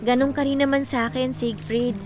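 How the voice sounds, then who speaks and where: A woman acting a devout, encouraging young woman in a radio drama, earnest and gentle, with music behind her. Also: high voice, fairly even intonation, a little fast, close to an everyday voice. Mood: earnest, tender. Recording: music under the voice.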